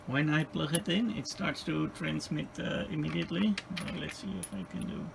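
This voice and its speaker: A man giving a hands-on repair demonstration, muttering haltingly and tentatively through each step as he thinks aloud. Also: fairly high pitch, gravelly, background noise. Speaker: host of a radio repair video. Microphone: handheld camera.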